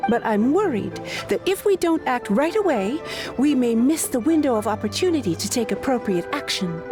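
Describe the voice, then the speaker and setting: An earnest young female official in a video game. Her voice is high and expressive, her delivery formal and precise. Faint music plays underneath. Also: normal speed. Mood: concerned, dutiful.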